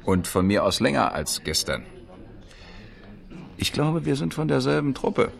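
A man's voice: medium pitch, very animated, a little slow, vocal fry, heavily acted. An actor in a 1970s German radio play voicing a chummy, wry unemployed man in colloquial, naturalistic speech. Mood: cautiously chummy. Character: unemployed sporty man, chummy, wry, hiding his joblessness.

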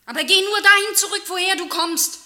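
High-pitched, fiercely defiant young heroine. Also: female voice, brisk, pushed and bright, full character voice. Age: young adult. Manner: emphatic, declamatory, resolute. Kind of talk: theatrical German radio drama acting.

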